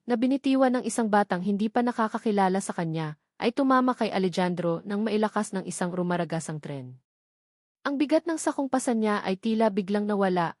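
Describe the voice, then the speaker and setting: Filipina narrator, tuyong malapitang tunog. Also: female voice, mid-range voice, darkish tone, no room sound, studio quality. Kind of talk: scripted dramatic love story narration.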